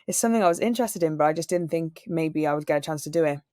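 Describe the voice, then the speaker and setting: This young woman talks in a low, deep register with a dry, close sound. She tells stories in a relaxed way and acts out other people's lines. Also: racing, no echo. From Wales. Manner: animated storyteller, acts out quoted people. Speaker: singer and radio presenter, interview guest. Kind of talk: relaxed interview conversation.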